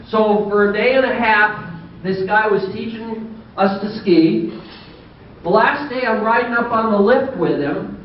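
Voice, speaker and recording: An older man in his sixties, with a fairly high voice for a man, tells unhurried, folksy stories with dry humor at a banquet. He is heard from a distance through a muffled hall mic, with a strong echo in the room. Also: slight background noise. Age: 60s. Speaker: business owner giving a banquet speech. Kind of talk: anecdotal after-dinner speech.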